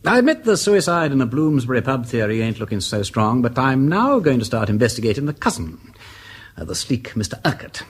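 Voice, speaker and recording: An actor playing a flippant, lovestruck aristocratic English gentleman detective in a British radio drama, chattering whimsically in old-fashioned slang. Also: medium pitch, very animated, brisk, husky. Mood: reassuring. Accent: upper-class English.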